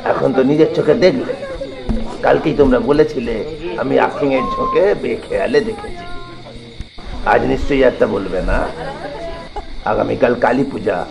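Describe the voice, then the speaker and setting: An insistent old village man, played by an actor, admonishes his neighbours in an audio drama. His voice is gravelly and medium in pitch, with crowd noise behind it. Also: lively intonation, moderate pace, long pauses, rough recording. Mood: vindicated, warning. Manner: emphatic, admonishing. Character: insistent old village man warning doubters of bad omens.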